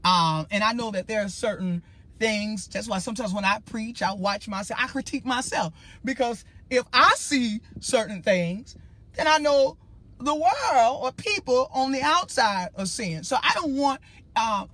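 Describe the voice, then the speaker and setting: A man with a naturally high-pitched, bright, ringing voice talks straight to his livestream audience. He preaches in a loose, emphatic way, rambling and circling back as he speaks his mind. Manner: emphatic, rambling, addresses viewers directly. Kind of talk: casual livestream talk.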